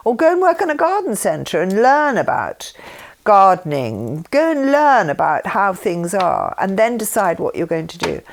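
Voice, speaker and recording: An older woman reading written messages aloud with informal asides, speaking in an earnest way. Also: mid-range voice. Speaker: reader and speaker.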